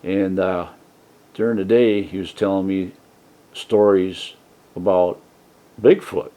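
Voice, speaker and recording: A man hosting his own channel, talking casually and softly from his trailer home, rambling with many fillers and restarts. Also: sits low, steady tone, slow. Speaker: YouTube channel host. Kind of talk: casual rambling vlog talk. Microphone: desk mic set for a soft voice.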